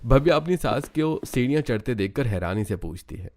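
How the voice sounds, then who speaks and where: A man's voice: medium pitch, expressive, fairly quick, darkish tone. A man narrating a scripted cartoon story.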